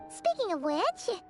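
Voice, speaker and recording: Very high, cheeky fairy companion. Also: female voice, exaggerated voice. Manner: teasing, exclaiming. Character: small cheeky childlike fairy companion, teasing. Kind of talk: animated video game voice acting.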